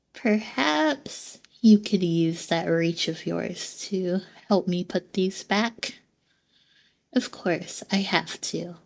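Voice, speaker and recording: A woman voicing a gentle, curious female android in an ASMR roleplay, soft-spoken and formal, with hesitant pauses. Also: lowish voice, creaky, heavily acted. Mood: sheepish. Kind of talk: ASMR roleplay, scripted monologue.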